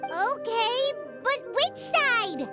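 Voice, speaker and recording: A woman voicing a tiny, excitable female pixie companion in animated game dialogue. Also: very high-pitched, very slow, over loud music, full character voice. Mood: puzzled.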